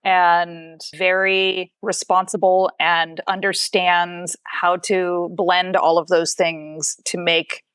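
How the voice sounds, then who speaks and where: A woman chatting casually as a podcast guest, telling stories in an animated way and acting out bits of dialogue. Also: lowish voice, takes it slowly, hardly any pauses, clear, resonant tone, no room sound. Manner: animated storytelling with quoted dialogue. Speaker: film producer, podcast guest. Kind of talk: casual group conversation.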